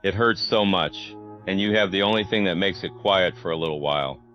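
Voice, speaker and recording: A man's voice: sits low, brisk, dull audio, soft background music, close to an everyday voice. An actor playing a suffering undead man who pleads in anguish, in a horror radio drama. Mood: anguished pleading. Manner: anguished, begging.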